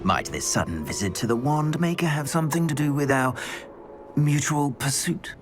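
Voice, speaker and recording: An actor playing a menacing, condescending male wizard villain in a video game, speaking in slow, whispered threats. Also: on the high side, animated, lightly acted. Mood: persuasive. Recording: game audio with music.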